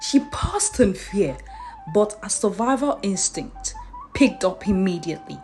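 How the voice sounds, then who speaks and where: A woman narrating a folktale as a storyteller, in an expressive, theatrical way. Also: mid-range voice, measured pace, soft background music.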